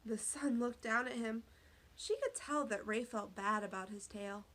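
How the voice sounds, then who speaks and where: A woman teacher giving a read-aloud phonics lesson to young children, speaking deliberately, spelling out words and asking questions. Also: fairly high pitch, fairly bright tone. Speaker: elementary school teacher.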